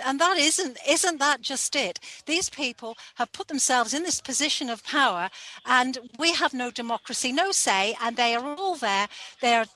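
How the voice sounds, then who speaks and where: High, bright-voiced woman chatting. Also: low-quality recording. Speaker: panel guest or co-host. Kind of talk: casual eyewitness talk.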